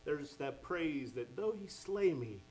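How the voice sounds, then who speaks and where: A man's voice: on the high side, expressive, slow pace, a little mellow, a little hiss or hum. A man preaching a sermon, fervent and exclaiming "oh my" and "amen" as he goes.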